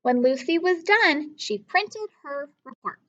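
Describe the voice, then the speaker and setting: A woman with a high voice reads aloud to young children as their teacher. She takes her time, expressive and instructive, talking straight to the listeners. The sound is slightly dull and lo-fi. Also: unhurried. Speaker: elementary school teacher. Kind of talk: children's story read-aloud with teaching questions.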